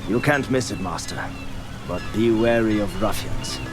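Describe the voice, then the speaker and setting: An actor playing a wary, deferential common town guard in a video game, somewhat nervous and servile. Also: male voice, fairly low pitch, moderate pace, loud music behind the voice, natural voice.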